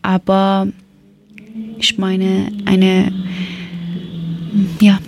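A young woman from Herat with a Dari Persian accent, speaking German as a second language and telling about her languages and country in a halting way, with many fillers and self-corrections. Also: mid-range voice, completely flat delivery. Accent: Afghan Persian (Dari). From Afghanistan, Herat. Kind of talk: casual personal account.

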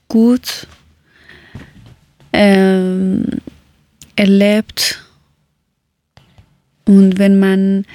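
A woman from Afghanistan, chatting casually and often pausing to search for words. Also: mid-range voice, a little mellow, no echo. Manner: searches for words, many pauses and fillers. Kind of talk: casual conversation.

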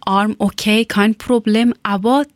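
A woman from Iran, speaking German as a learned language, tells her story haltingly and searches for words with many fillers. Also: medium pitch, fairly even intonation, dry recording, clean studio sound. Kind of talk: personal account.

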